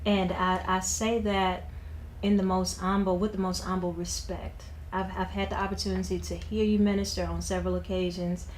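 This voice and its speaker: A woman's voice: on the low side, little pitch movement, moderate pace, slight background noise, poor audio. A woman hosting an interview. She encourages her guest with frequent affirmations. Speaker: interviewer, host. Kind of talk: interview chat.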